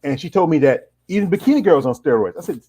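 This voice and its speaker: A man's voice: fairly high pitch, lively intonation, fast talker, dark tone, slightly rough. A middle-aged man hosting a casual live-stream interview and reading out viewer comments, rambling and leaning on "you know" fillers. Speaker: host.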